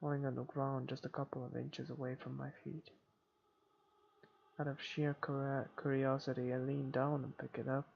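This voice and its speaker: A man reading first-person horror game narration aloud in a dramatic way, with some halting stumbles. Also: medium pitch, little pitch movement, creaky, lo-fi sound, a little hiss or hum. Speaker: gamer reading a visual novel aloud. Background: game music.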